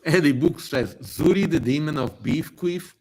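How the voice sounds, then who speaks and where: A man's voice: a little high, normal speed, a little mellow, poor audio. A man hosting a casual livestream, reading aloud and commenting in a wry, playfully theatrical way. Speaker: livestream host.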